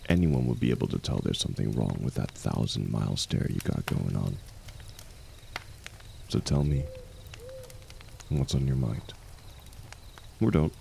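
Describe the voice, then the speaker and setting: A man playing a seasoned ex-mercenary warrior in an intimate fantasy audio drama, gruff but kindly, gently teasing and unhurried in his talk. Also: extremely low pitch, expressive, slight background noise, heavily acted.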